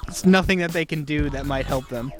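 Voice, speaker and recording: A man's voice: fairly high pitch, brisk, over loud music. A man hosting casual street interviews with playful, teasing banter.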